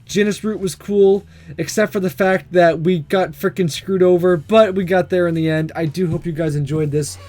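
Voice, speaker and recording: A man's voice: high voice, steady tone, normal speed, a little mellow. A man hosting a let's play, reading a visual novel aloud and breaking off with laughing, jokey asides. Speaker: gaming video host. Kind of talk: let's play narration and commentary.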